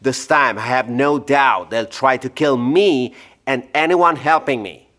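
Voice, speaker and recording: A man's voice: medium pitch, a little slow, fairly bright tone, close to an everyday voice. A man playing an anxious, self-justifying scientist with precise, formal diction in an audio drama. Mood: urgent, fearful. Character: blinded, guilt-free scientist doctor, anxious and urgent.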